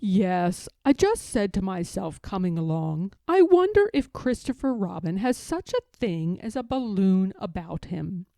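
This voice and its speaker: A woman's voice: medium pitch, big pitch swings, measured pace, gravelly, hardly any pauses, mellow, no echo. A woman voicing a small male bear from a storybook, earnest and muddled, musing ponderously in a simple-minded way. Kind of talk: storybook character voice.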